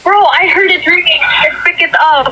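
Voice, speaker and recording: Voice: very high-pitched, normal speed, sharp tone. A person bantering casually on a phone call heard through a speaker. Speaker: person answering a prank call. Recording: noisy phone call played over speaker.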